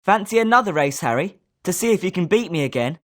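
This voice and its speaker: A confident British schoolboy wizard as acted by a woman, a little low in pitch. The melody is very lively, suited to a sporting, competitive character in a video game. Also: fast talker, natural voice. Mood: confident, challenging.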